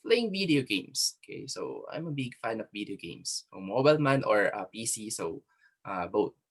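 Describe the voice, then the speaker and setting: A man's voice: fairly low pitch, sing-song, moderate pace, slightly rough. A male teacher with a Filipino accent running an online class over a video call, chatting loosely and mixing two languages with many fillers. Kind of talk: online class, casual instructions.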